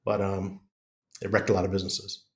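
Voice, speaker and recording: A man's voice: deep voice, completely flat delivery, a little fast. A middle-aged American man with chest congestion, chatting casually and bluntly as a podcast guest. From United States. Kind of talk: casual conversational interview.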